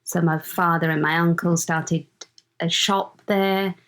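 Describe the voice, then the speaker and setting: A woman's voice: fairly low pitch, steady tone, a little slow, a bit bright. A woman in her fifties, born in Uganda, chatting as a podcast guest and searching for words as she tells her story. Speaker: guest, host's mother. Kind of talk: casual conversation.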